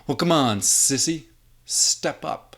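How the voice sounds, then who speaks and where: A man's voice: a little high, lively intonation, takes it slowly, fairly bright tone, pitched above the performer's own voice, exaggerated voice. A man voicing a big, belligerent tough guy who sneers, taunts and swears, in an audio drama reading. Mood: taunting.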